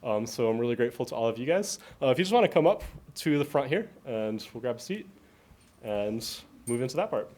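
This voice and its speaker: A man's voice: mid-range voice, sing-song, fairly quick, darkish tone. A man giving an informal presentation to an audience, explaining things in a conversational way as presenter and moderator.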